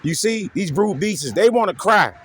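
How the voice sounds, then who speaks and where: A man's voice: high-pitched, animated, moderate pace, fairly bright tone, husky. A man preaching in a profane, taunting rant, repeating a rhetorical question again and again. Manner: repetitive and taunting, keeps asking "you see?". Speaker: street preacher.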